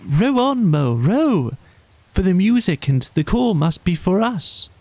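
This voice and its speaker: A man's voice: sits high, sing-song, unhurried, mellow, no room sound, pitched above the performer's own voice, heavily acted. A man voicing a water rat in a bedtime storybook, rapt and joyous, with breathless, lyrical exclamations. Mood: entranced, yearning.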